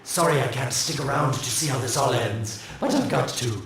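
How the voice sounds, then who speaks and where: An actor voicing a sly, mocking scientist who taunts in smug, sarcastic drawls. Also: male voice, medium pitch, moderate pace, creaky, heavy reverb, far from the mic, a crowd in the background, close to an everyday voice. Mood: sardonic. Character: sly, mocking male scientist, gleeful schemer.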